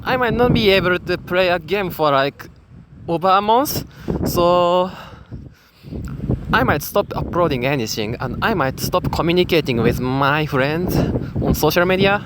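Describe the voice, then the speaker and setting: A young man with a Japanese accent speaks English slowly and haltingly, pausing on fillers as he works through his thoughts. His voice sits at a middle pitch, and heavy wind roars across the microphone outdoors, with a boat engine droning behind him. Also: expressive. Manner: hesitant, many fillers and restarts. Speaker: gamer. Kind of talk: casual spoken commentary. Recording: loud wind noise on the mic.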